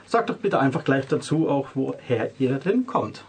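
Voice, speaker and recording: A man's voice: mid-range voice, big pitch swings, moderate pace, creaky, a little mellow, a little hiss or hum. A man hosting a radio interview, talking with studio guests. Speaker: radio host.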